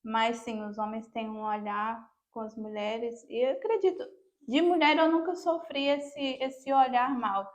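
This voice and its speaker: A woman's voice: a little high, fairly even intonation, unhurried. A Brazilian woman talking casually as a podcast guest, sharing personal experience.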